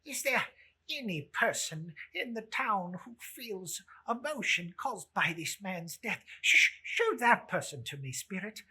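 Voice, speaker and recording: A performer voicing an anguished old male miser, imploring and halting in a theatrical story reading. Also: medium pitch, sing-song, bright, forceful tone, husky, heavily acted. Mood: desperate pleading.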